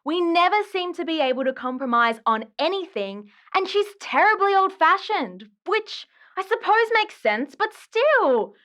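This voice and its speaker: A woman's voice: very high voice, very animated, a little slow, hardly any pauses, a bit bright, heavily acted. An actress playing an aggrieved, chatty woman caller in an audio drama phone-in, dramatic and exasperated. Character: exasperated, dramatic woman caller griping about her ghost ex.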